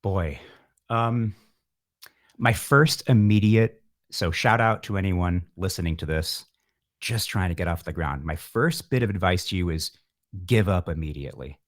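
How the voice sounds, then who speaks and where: Male, middle-aged, low voice. Musician in interview, wry and philosophical, thinking out loud. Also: unhurried. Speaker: musician, podcast guest. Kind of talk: reflective interview talk.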